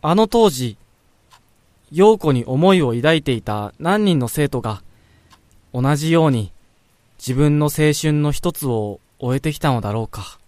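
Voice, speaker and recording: A man playing a pensive young man who narrates his thoughts in a radio drama, measured and introspective. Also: a little high, expressive, a little mellow, no echo. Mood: wistful musing. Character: pensive young man quietly reflecting on a childhood friend.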